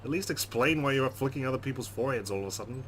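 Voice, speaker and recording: A man's voice: medium pitch, racing, fairly bright tone, a little hiss or hum. A man hosting a let's play, reading game narration aloud and adding casual commentary. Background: faint game music.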